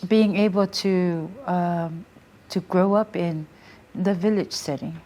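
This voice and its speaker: A middle-aged woman from American Samoa whose first language is Samoan, telling her story in a relaxed interview full of fillers and restarts. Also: lowish voice, slow. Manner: many fillers and restarts, laughs readily. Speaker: guest, Samoan language teacher. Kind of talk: casual interview, reflective storytelling.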